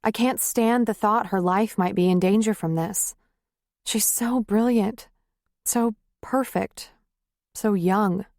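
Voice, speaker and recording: A woman's voice: mid-range voice, takes it slowly, darkish tone, no room sound, clean studio sound. A woman voicing a weary, fed-up wife who is grieving and angry, speaking in raised, heated tones. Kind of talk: audiobook character reading.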